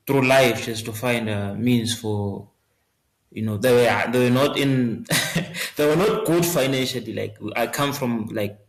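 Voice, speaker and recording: A man, answering interview questions in a hesitant way with many fillers and restarts. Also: on the low side. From Zimbabwe. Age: 20s. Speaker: guest singer. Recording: roomy echo.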